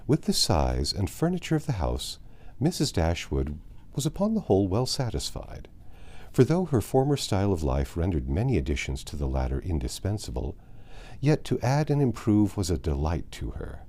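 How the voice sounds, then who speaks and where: A man narrating a classic novel aloud in a measured, expressive storybook manner. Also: deep voice. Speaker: audiobook narrator. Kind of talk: literary audiobook narration.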